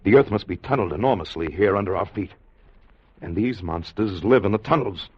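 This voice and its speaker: A grave, fatherly man of science in an old-time radio play. His deep voice is husky and gravelly as he probes and reasons, on a dull vintage recording. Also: lively intonation, brisk. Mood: grave pondering. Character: grave, questioning male doctor and time traveler, fatherly. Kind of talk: 1950s radio drama acting.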